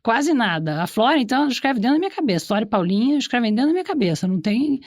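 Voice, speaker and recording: A woman's voice: a little high, sing-song, very fast, no room sound, clean studio sound. A woman hosting a conversational podcast interview, speaking emphatically and repeating words for stress. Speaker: podcast host.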